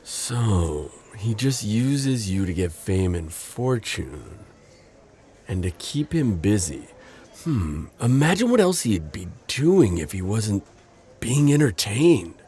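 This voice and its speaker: A man playing a gentle, protective civilian friend in an audio drama, earnest and hesitant with a slight stammer. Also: on the low side, big pitch swings, slow. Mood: puzzled, curious. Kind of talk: naturalistic audio roleplay drama acting.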